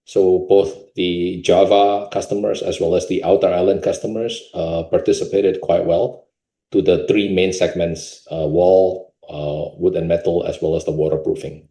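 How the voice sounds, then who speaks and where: Low-voiced mellow man in an echoey room. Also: flat delivery, moderate pace. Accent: Indonesian. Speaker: company executive answering questions. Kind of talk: unscripted investor Q&A answer. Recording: roomy, echoing sound.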